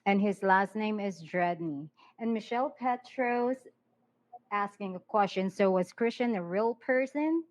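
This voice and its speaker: A woman's voice: medium pitch, measured pace. Woman explaining things matter-of-factly. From Philippines. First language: Tagalog. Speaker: paranormal investigator. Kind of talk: casual podcast chat. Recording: slightly dull, lo-fi sound.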